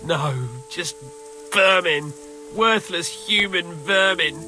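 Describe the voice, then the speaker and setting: A high, sharp male voice plays a bitter, shaken survivor in a video game. He speaks haltingly. Music sits behind him. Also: exaggerated voice, rough recording. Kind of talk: video game dialogue acting.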